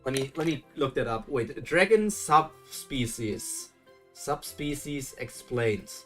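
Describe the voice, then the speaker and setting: A man's voice: mid-range voice, lively intonation, slow, fairly bright tone, faint music behind. A young man from Germany with a German accent, chatting loosely as he reacts to a webtoon live and answers his chat. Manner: chatty, thinks aloud, restarts and chuckles, answers chat. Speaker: streamer reading webtoons live.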